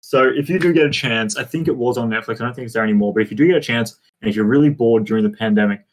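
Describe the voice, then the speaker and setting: A man's voice: mid-range voice, very fast. A man co-hosting a podcast in a casual film chat, speaking in an enthusiastic, emphatic way. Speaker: podcast co-host. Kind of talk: casual group chat about films.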